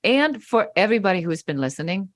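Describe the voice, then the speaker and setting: A woman's voice: medium pitch, very animated, fast, no room sound, studio quality. A woman with a German accent, a guest on a podcast interview, speaking emphatically and stacking points in rising lists.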